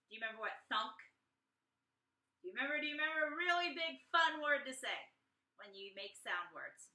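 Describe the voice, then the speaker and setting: A woman teacher giving an informal read-aloud lesson to students, chatty, with expressive asides. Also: sits high, brisk. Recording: echoey room, distant mic.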